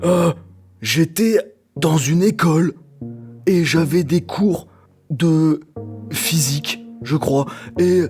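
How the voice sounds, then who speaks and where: A man's voice: fairly high pitch, slow, pauses often, a little mellow, soft background music. A man playing in a tabletop game, playful and joking as he describes his character. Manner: playful, laughing. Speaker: player. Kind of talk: casual tabletop roleplay.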